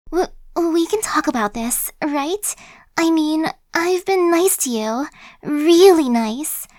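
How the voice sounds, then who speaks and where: A woman's voice: high-pitched, dry recording, studio quality. A woman playing a chatty, sly young female zombie in an ASMR roleplay, talking in a slangy, cajoling, buddy-like way. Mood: nervous, placating. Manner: casual slangy wheedling, buddy-like.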